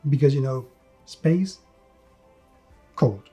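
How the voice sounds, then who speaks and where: A man giving a conversational tabletop game review, emphatic, with repetition and dramatic pauses. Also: mid-range voice, lively intonation, dark tone. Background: background music.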